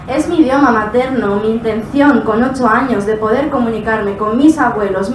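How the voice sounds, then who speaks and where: A woman in her twenties recites a slam poem with rhythmic, impassioned delivery at a live indoor event. Her voice comes from some way off the mic and rings around a reverberant room, with steady noise underneath. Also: mid-range voice. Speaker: slam poet. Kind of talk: spoken word poetry performance. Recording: echoey room, distant mic, background noise.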